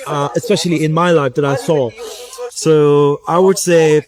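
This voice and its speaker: Chatty man, high voice. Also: fairly even intonation, normal speed, over music. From Pakistan. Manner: chatty, self-deprecating, many fillers. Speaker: interview guest. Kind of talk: casual interview.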